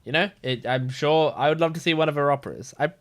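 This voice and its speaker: A man's voice: on the high side, fast talker, a bit bright. A man hosting a reaction video, casually thinking aloud in loose, rambling commentary.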